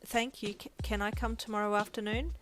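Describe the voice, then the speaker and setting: A woman's voice: medium pitch, fairly even intonation, brisk, voice pushed up, lightly acted. A woman voicing an anxious, caring young woman who tries to hold things together in an audio story. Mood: flustered, hesitant. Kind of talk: dramatic audio story reading. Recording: music under the voice.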